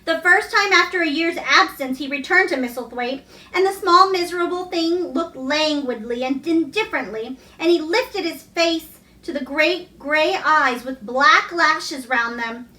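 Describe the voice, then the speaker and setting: A woman reads a classic story aloud in a bright, high voice. The room echoes noticeably around her narration. It is storybook reading, line by line from the page. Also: measured pace. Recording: echoey room, distant mic.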